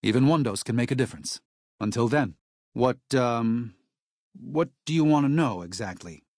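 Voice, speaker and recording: A man playing an earnest, gentle missionary, dry sound. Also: medium pitch, expressive, normal speed, no room sound, natural voice. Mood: grateful. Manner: earnest, soft-spoken, hesitant pleading. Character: gentle, earnest male missionary, devout and worried for his flock. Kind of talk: video game character dialogue.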